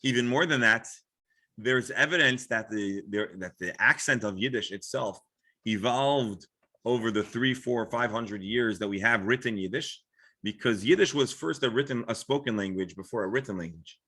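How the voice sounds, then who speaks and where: A man's voice: medium pitch, normal speed, pushed and bright, husky. A man with an American accent, a rabbi explaining things informally in a class discussion, often restarting and qualifying his sentences. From Florida, United States. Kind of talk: informal religious lecture with discussion.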